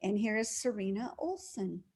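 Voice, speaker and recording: A woman's voice: mid-range voice, lively intonation, normal speed, darkish tone, husky. A woman reading a prepared introduction of a guest speaker at a club meeting on a video call.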